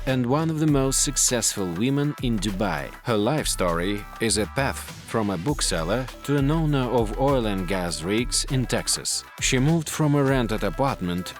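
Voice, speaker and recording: A man reading scripted promotional voice-over narration as the narrator of a video. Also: medium pitch. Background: music.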